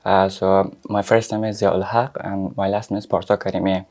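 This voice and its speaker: Low-voiced young man from Afghanistan. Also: level tone, moderate pace, a little mellow. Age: young adult. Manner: polite, brief answers. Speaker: student guest. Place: studio.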